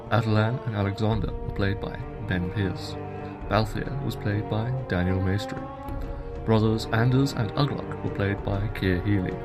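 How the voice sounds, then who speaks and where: A man reading scripted credits as an announcer. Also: lowish voice.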